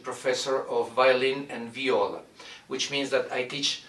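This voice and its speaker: A middle-aged man from Argentina, a violin professor introducing his studio in a measured way, with many "uh" pauses as he searches for words. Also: mid-range voice, fairly even intonation, fairly bright tone, far from the mic. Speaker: violin professor and orchestra director. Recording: roomy echo, mic set back.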